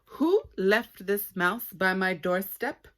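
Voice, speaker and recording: A woman's voice: medium pitch, animated, slow, pitched above the performer's own voice. A woman voicing a small, dainty female dog from a storybook, emphatic, bossy and demanding. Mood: indignant.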